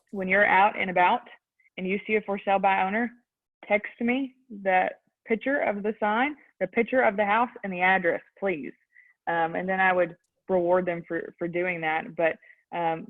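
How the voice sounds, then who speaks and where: A young adult woman with a Texan accent, a real estate agent answering interview questions in a youthful voice, earnest and full of ums. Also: lowish voice. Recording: tinny phone-like line.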